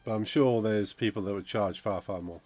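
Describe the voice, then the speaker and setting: A man, croaky from a cold, chatting casually on a game dev stream and reading out chat. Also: fairly low pitch, moderate pace, darkish tone. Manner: rambling, chatty, reads out chat messages. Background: funky music playing.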